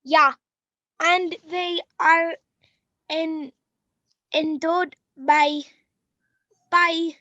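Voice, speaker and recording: A woman playing an earnest, hopeful young refugee child in a 1930s radio play, speaking halting, careful English. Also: very high-pitched, fairly even intonation, sharp tone, no echo, heavily acted. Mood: reciting carefully. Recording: dull, dry lo-fi radio recording.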